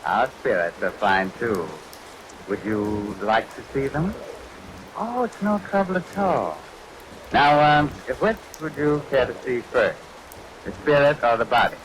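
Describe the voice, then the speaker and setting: A man playing a gleefully macabre 1940s radio horror host, mock-courteous and teasing. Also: mid-range voice. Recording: noisy vintage radio recording, rain behind.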